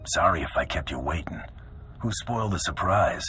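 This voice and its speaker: Very deep-voiced, tough bounty hunter. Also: male voice, expressive, normal speed, sharp tone, soft background music. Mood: wry. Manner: terse, dry, sardonic. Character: tough, cocky male bounty hunter, curtly sardonic. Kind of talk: video game cutscene acting.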